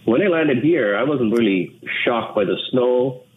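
A man from Sri Lanka, an English speaker, talking in an earnest, measured way as an interview guest. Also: mid-range voice, lively intonation. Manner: measured, earnest, with frequent restarts. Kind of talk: reflective interview talk. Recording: tinny phone line with faint noise.

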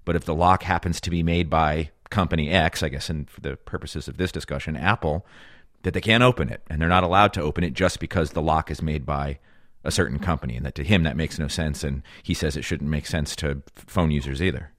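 Casting: man, broadcast interviewer, low-pitched voice, close dry recording. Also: a little fast, fairly bright tone, no room sound.

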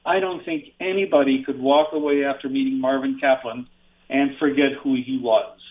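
Gravelly earnest man on a phone line. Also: medium pitch, animated, normal speed. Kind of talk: phone-in tribute.

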